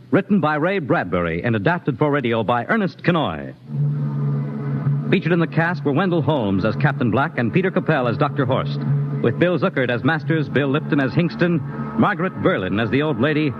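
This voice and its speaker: A male announcer reading the closing credits of a radio show in a crisp, formal manner. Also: mid-range voice. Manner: crisp, formal broadcast delivery. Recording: dull vintage radio broadcast with music.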